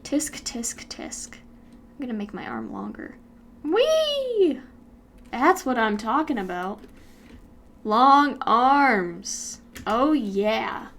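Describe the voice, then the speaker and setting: A woman's voice: on the high side, sing-song, extremely slow, fairly bright tone. A young American woman chatting casually and rambling while she works on an animation, with lots of giggles. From United States. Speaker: YouTuber animating while streaming. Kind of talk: casual chat while working.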